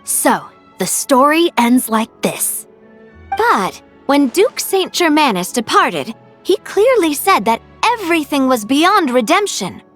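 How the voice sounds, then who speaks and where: A woman's voice: high-pitched, sing-song, slow pace, pauses often, fairly bright tone, soft background music, heavily acted. A woman voicing an earnest young actress who delivers dramatic lines with formal phrasing in a video game. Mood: solemn, reproachful.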